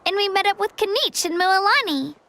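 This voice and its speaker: Very high, bright young girl adventurer. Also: expressive, fairly quick, slight background noise, exaggerated voice. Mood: warm, admiring. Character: earnest, friendly young girl adventurer, admiring and encouraging. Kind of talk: anime game voice acting.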